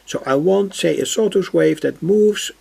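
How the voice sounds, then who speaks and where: A man giving an unscripted electronics demonstration, halting as he searches for words, with a husky, creaky voice. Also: high voice, dark tone. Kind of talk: unscripted workbench demonstration vlog. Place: indoor hobby workbench.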